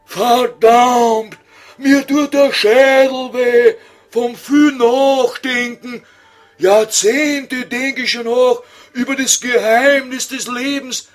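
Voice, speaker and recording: A man's voice: very high-pitched, steady tone, takes it slowly, soft background music. A man voicing a grumpy old scholar in Viennese dialect for an audio comedy sketch, whining and impatient. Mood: whining, brooding.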